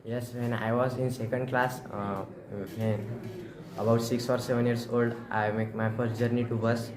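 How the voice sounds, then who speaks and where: A man's voice: mid-range voice, level tone, normal speed. A teenage male student with an Indian accent answering questions in class. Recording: echoey classroom with crowd murmur.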